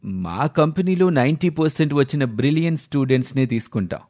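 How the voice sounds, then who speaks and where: A man's voice: fairly high pitch, lively intonation, brisk, warm tone. A man voicing a curt, dismissive company interviewer within a narrated story. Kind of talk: storybook character voice.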